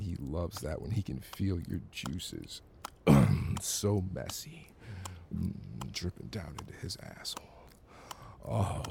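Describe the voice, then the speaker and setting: Deep gravelly man murmuring slowly. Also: background noise. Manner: murmuring, sighing and moaning softly. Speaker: solo audio roleplay performer. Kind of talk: intimate whispered roleplay monologue.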